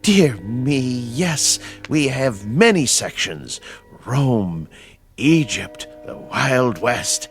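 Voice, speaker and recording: A man's voice: mid-range voice, expressive, extremely slow, faint music behind. A man acting in a radio drama as a genteel, fussy, unflappable caretaker with courteous, old-fashioned manners. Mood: genteel, chatty.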